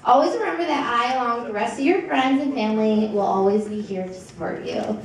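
A young woman with an American accent gives an informal, joking, halting wedding toast as maid of honor into a handheld microphone. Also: a little high. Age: young adult. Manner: animated, joking, halting with pauses. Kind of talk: informal speech, partly read from notes. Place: indoor wedding reception room. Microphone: handheld PA microphone, filmed from across the table. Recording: echoey, distant, very noisy crowded hall. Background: laughing, noisy crowd.

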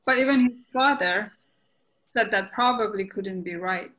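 A woman playing a storyteller, narrating a comic verse tale deliberately in a readers' theatre performance. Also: medium pitch, a bit bright, close to an everyday voice, rough recording. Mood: measured. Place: indoors at home on a video call.

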